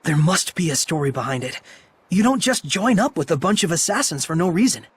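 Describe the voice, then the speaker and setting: An actor playing an earnest, kindhearted young shepherd hero in a video game, speaking casually and sincerely. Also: male voice, a little high, very animated, fairly quick, breathy edge, full character voice. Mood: pensive. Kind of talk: English video game dub acting.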